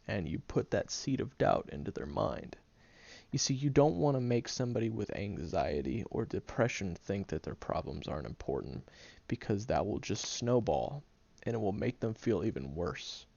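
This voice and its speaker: A man as a gruff, gravelly tsundere guy. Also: on the low side, dry recording, lo-fi sound, exaggerated voice. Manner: blunt and profane, gentle underneath. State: groggy, just woken up. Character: gruff, sarcastic young man who secretly cares. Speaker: voice actor in an audio roleplay. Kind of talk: audio roleplay monologue to the listener.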